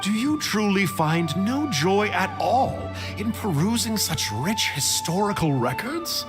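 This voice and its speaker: A man's voice: sits high, sing-song, measured pace, music in the background. A man voicing a bookish, sly sorcerer in a video game, with an erudite, smug and theatrical delivery. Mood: needling. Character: bookish, sly male Abyss mage, smugly scholarly. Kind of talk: video game character acting.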